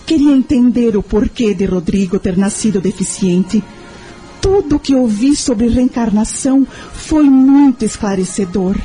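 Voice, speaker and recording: A devoted young mother in an audio novela, acted by a woman, with a mellow, soft-edged tone. She speaks earnestly and tenderly, full of gratitude, over a bed of music. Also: on the high side, expressive, a little slow. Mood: earnest, grateful. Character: devoted, grateful young mother, searching for faith. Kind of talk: audio drama acting.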